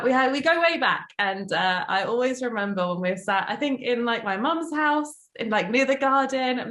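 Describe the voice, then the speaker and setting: A woman's voice: a little high, a bit bright, echoey room. A woman hosting a podcast, chatty and upbeat as she introduces her guest. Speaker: podcast host.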